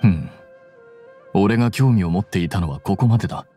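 A young scholar with a low, mellow voice. He is aloof and bluntly sardonic, speaking in measured, detached lines as in an anime game, with soft music behind. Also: animated, breathy edge. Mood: cool, detached.

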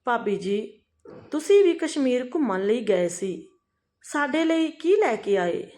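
A cheerful, forward young sister-in-law in a narrated family story, acted by a woman storyteller. She talks in a chatty, inquisitive way, high and lively in pitch. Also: takes it slowly. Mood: teasing, curious.

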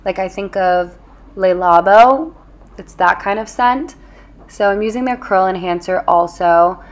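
A woman's voice: medium pitch, unhurried. A young woman chatting casually and informally to viewers as a beauty vlogger, sprinkling her talk with "like". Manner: informal, chatty, frequent "like" and "you know". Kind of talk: casual chat to viewers while doing beauty routine. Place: at home in an apartment.

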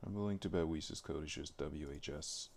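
A man's voice: very deep voice, normal speed, a little mellow. A man live streaming, casually talking to himself in short remarks as he works at a computer. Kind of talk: casual muttering to self while video editing. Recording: clear background noise, typing and clicking. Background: keyboard typing and mouse clicks.

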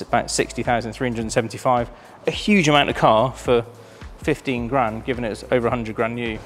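Enthusiastic man presenting cars. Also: medium pitch. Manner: enthusiastic, chatty, filled with ums. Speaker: auction house presenter. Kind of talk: casual presenting of a car preview. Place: large warehouse showroom with cars.

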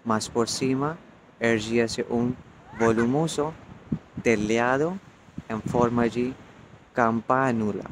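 A young man from India with an Indian accent, a non-native speaker reading prose aloud in short, halting phrases. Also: mid-range voice, steady tone. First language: not Portuguese. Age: young adult. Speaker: language student reading a text. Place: outdoors on a beach. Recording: noisy outdoor phone recording.

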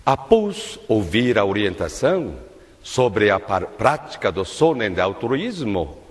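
Slow, measured older preacher in a hall. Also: male voice, fairly low pitch, big pitch swings. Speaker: minister giving a testimony-based sermon. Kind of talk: sermon. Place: large indoor worship hall, at a lectern on stage. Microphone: lectern microphone. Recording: long reverb of a large hall.

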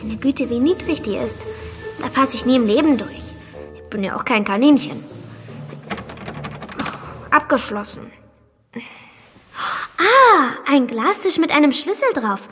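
High-voiced, curious little girl. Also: very animated, a little slow, long pauses, music in the background. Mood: wondering. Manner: wondering aloud to herself, exclamatory. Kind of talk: German audio drama acting.

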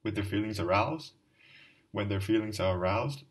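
A man's voice: lowish voice, moderate pace, far from the mic, close to an everyday voice. A man reading the part of a drunken, ragged middle-aged man, who speaks in a fervent, rambling confession. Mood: anxious. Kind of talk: audiobook dialogue reading.

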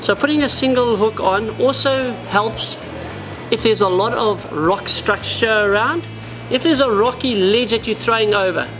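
Brief: South African man, 40s, tackle shop presenter, plain practical delivery, music in the background. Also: high-pitched. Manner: practical, explanatory, addresses viewers as guys. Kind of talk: product demonstration. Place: indoor tackle shop, seated at a wooden counter.

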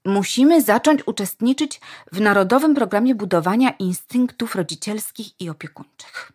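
A woman playing an eager, wistful young wife in a dystopian radio play, earnest and dreamy, with a slightly husky voice. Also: medium pitch, moderate pace, close to an everyday voice.

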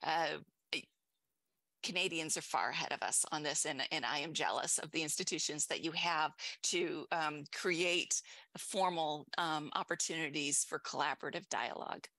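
A woman in her forties wrapping up an academic presentation on a video call, animated and using fillers. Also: low-pitched, moderate pace, dry recording. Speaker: panelist, speaker. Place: indoor room, video call. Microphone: headset.

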